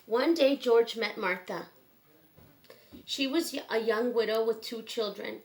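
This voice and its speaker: A woman reading a children's book aloud in a simple, measured storytelling manner with pauses. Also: a little high, slight background noise, rough recording.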